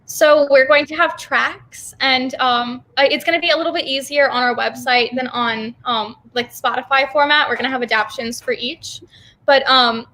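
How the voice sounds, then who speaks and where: A woman with a high, clearly bright voice speaks as an interview guest. Her delivery is casual and conversational. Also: poor audio. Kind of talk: casual chat.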